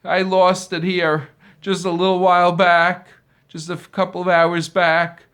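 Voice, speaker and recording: A man voicing an angry traveler who accuses a stranger of stealing his money, shouting and accusing. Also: sits high, completely flat delivery, a little slow, smooth, voice pushed up. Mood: furious.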